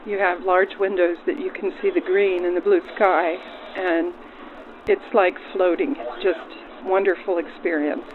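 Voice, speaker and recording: A woman's voice: on the low side, fairly even intonation, measured pace, phone-quality sound, noisy background. A woman speaking as a train car stewardess in an interview, through a vintage radio filter. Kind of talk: interview answer. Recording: band-limited old-radio sound, crowd chatter behind.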